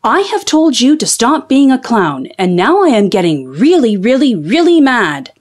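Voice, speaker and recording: Give this storybook part to a woman playing a strict, exasperated lady teacher. Her voice is raised high and swings widely as she scolds. She gives sharp, commanding orders to her class. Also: big pitch swings, moderate pace. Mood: angry.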